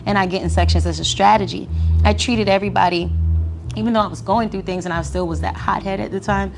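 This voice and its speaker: A young adult woman talking casually as an interview guest, animated and full of slang, laughing often. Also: mid-range voice, fast, vocal fry, over music. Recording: noisy background.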